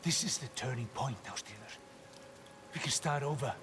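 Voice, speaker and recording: A man playing an earnest, persuasive, protective Northman in a cinematic video game, halting and often hushed. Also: mid-range voice, slight background noise, close to an everyday voice. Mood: urgent pleading. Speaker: game character actor.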